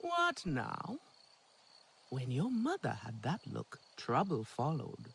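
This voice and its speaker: A playful alien villager, slow talker with big pitch swings, teasing, theatrical, game cutscene. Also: male voice, wildly swooping pitch, vocal fry, fairly bright tone, a little hiss or hum, heavily acted. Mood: reassuring. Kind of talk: dramatic video game acting.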